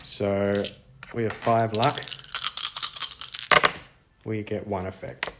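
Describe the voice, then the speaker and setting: A man's voice: on the low side, level tone, takes it slowly, very noisy. A man thinking aloud, unscripted, as he plays a tabletop game alone, musing to himself. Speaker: solo roleplayer.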